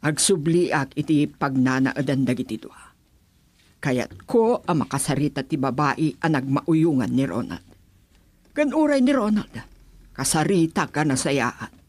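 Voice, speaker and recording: A woman playing a worried, determined mother and grandmother in a radio drama, husky-voiced, with an Ilocano Filipino accent. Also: low-pitched, lively intonation, a little slow, darkish tone, dry recording. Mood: earnest, resolute. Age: middle-aged.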